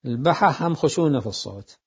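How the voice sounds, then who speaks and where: Deliberate man lecturing, dull lo-fi recording. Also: medium pitch, expressive, breathy edge. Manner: deliberate, repeats phrases to explain. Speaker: seminary jurisprudence teacher.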